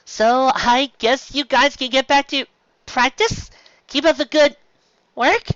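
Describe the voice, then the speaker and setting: Lady doing a pushy brisk pony girl, pitched up, fake-cheery, dull lo-fi sound. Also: a bit bright, no echo. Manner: brisk, impatient, falsely cheery. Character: eager, bossy young female unicorn pony scholar.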